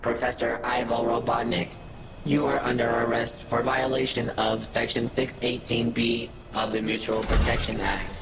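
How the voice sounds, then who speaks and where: A man's voice: mid-range voice, level tone, normal speed, very noisy, natural voice. A man voicing a stern, officious military commander in a game cutscene, formal and authoritative. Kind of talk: video game cutscene acting. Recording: echoey, with loud music behind.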